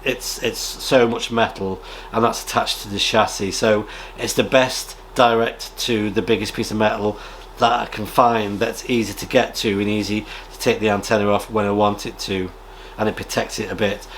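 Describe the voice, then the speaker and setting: A British man chatting casually as a radio hobby vlogger, rambling in a halting way and often clearing his throat. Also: fairly low pitch, little pitch movement, fairly bright tone, a little hiss or hum. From United Kingdom. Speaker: amateur radio vlogger and presenter. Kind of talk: casual rambling chat, reading out viewer comments.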